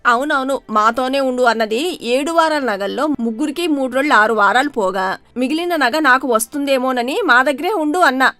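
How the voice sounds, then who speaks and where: Two-faced young housewife played by an actress, higher voice, pointed and grasping, for a moral-story cartoon. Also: female voice, normal speed, pushed and bright, dry recording, soft background music. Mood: indignant, greedy. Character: two-faced, greedy young daughter-in-law.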